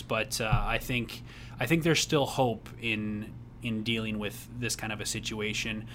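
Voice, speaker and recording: Young male co-host, bright edge to the voice, earnest rambling delivery, light noise under it. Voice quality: says he has a rather high voice. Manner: earnest, rambling with restarts, laughs easily. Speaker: podcast co-host. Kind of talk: casual advice chat.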